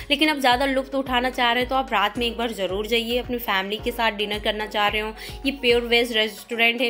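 A woman's voice: fairly high pitch, fairly bright tone. A young woman reviewing a restaurant in a chatty voice-over, mixing English words into her Hindi. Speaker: food vlogger.

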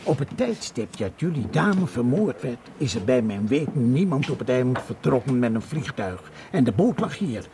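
An actor playing a chatty, insistent island policeman in a radio drama, with a gravelly colloquial voice. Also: medium pitch, sing-song, normal speed, hardly any pauses, background noise. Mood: pondering. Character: chatty island policeman, male, protective of locals, hospitable.

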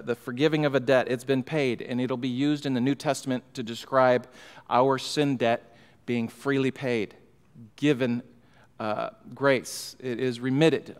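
A middle-aged man preaching an expository sermon from a pulpit microphone, emphatic and building in fervor. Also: mid-range voice. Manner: emphatic, rising in fervor, gestures while preaching. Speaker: pastor. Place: church sanctuary, large room indoors. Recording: long hall reverb.

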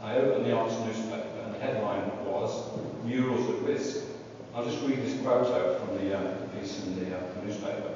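A man, a university professor, speaking unscripted on a panel in a large meeting room, reflective and pausing to restart sentences. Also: on the low side, darkish tone. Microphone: far room camera. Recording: echoey hall, distant, dull, noisy.

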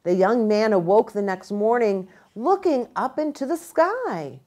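A middle-aged American woman reading a storybook aloud to children in an expressive, deliberate way, pausing within phrases. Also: a little high. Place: small indoor room with bookshelf and armchair.

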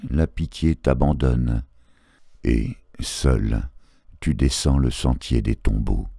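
A man reciting poetry in a solemn literary style, measured and phrase by phrase, with pauses. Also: very deep voice, dark tone, no echo, clean studio sound. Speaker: poetry reader.

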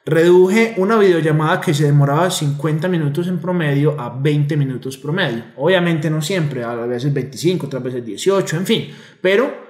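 A man's voice: fairly high pitch, moderate pace, darkish tone, echoey room. A man in his thirties explaining money to camera in an emphatic, explanatory way, often checking in with rhetorical questions, at a desk microphone in a home office. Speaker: investor and community director. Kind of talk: direct-to-camera finance lesson. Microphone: desk microphone in front.

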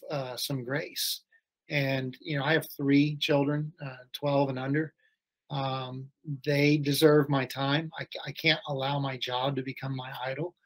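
A middle-aged man, a school head, speaking as a podcast guest over a video call in a thoughtful, measured way. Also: mid-range voice, poor audio. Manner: thoughtful, measured, restarts phrases. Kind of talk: casual interview chat. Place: home or office, video call.

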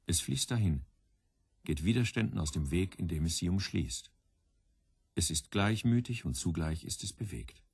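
A man playing a reflective storyteller in a radio play, with contemplative, deliberate phrasing. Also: sits low, lively intonation, darkish tone, slightly rough, no echo, studio quality, full character voice. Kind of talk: literary radio play narration.

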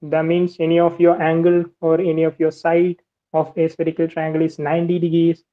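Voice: medium pitch, completely flat delivery, fairly quick, narrow, tinny audio. A maritime navigation teacher giving a screen-recorded whiteboard lesson, explaining step by step and repeating points often. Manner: methodical, step-by-step explaining with much repetition.

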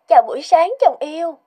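A woman's voice: very high-pitched, mellow, exaggerated voice. A woman voicing a sweet, evasive young wife in a cartoon. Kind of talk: Vietnamese cartoon dubbing.

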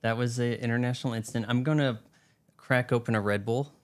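A man hosts a podcast and chats casually, telling stories with a dry, joking edge. His voice sits at an ordinary middle pitch. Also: fairly even intonation, fast talker. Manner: joking, storytelling. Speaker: podcast host. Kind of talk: casual chat.

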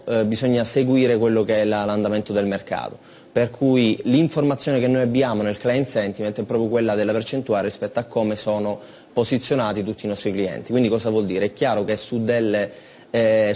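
A man's voice: mid-range voice, dark tone. A young man answering studio interview questions as a company guest, eager and full of restarts and fillers, in a TV studio. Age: young adult.

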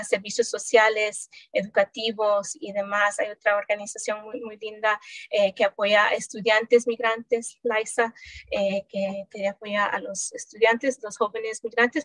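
A woman's voice: mid-range voice, a bit bright, dry recording, poor audio. A woman in her thirties, born in El Salvador, answering interview questions on a video call in a thoughtful way, with many hesitations and restarts. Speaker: researcher guest. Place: home room.

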